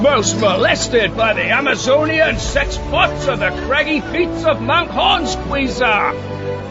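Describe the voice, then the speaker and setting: A grandiose medieval peddler in an audio comedy. The voice is extremely high and lively, declaiming slowly in mock-archaic phrases. Loud music plays under the muffled recording. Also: male voice, full character voice. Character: excitable medieval goat merchant, awed and grandiose. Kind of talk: comedic audio drama acting.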